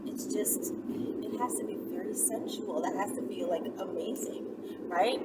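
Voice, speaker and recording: A woman's voice: on the low side, moderate pace. A woman giving informal, conversational instruction to listeners as a channel host, often checking in with a short tag question. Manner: conversational, often tagging sentences with "right?". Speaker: channel host giving explicit adult advice. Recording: distant mic, loud ambient vehicle-like noise.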